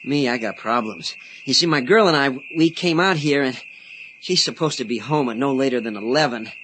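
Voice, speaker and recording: A man's voice: medium pitch, brisk, fairly bright tone, a little hiss or hum, lightly acted, rough recording. An actor in a radio drama playing an eager, chatty young man, boyish, polite and rambling. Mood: eager, nervous. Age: young adult. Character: eager, chatty young male Senate page.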